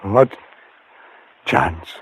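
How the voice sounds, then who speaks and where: An actor playing a doomed, haunted man with fraying nerves, narrating in a brooding, dramatic old radio thriller style. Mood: shaken, anxious. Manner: slow, dramatic, brooding delivery. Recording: vintage radio broadcast with music behind.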